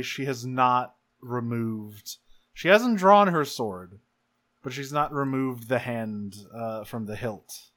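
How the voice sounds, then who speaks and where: A man improvising as a player in a tabletop roleplaying game, deliberate and pausing mid-sentence to think. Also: mid-range voice, a bit bright.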